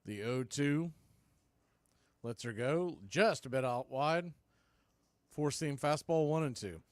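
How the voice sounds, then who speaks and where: A man's voice: a little high, expressive, slow pace, dry recording. A man working as a baseball announcer, giving live play-by-play commentary mixed with score updates in clipped phrases.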